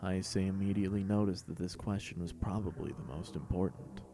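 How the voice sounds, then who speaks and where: A man's voice: low voice, steady tone, brisk, gravelly. A man reading scripted fan fiction as the narrator of an audio story.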